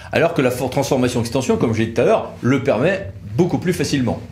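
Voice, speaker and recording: A man's voice: medium pitch, animated, fast talker, a little mellow, a little hiss or hum. A man giving an informal expert explanation in a colloquial way, like a lawyer talking through procedures. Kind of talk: informal legal explainer discussion.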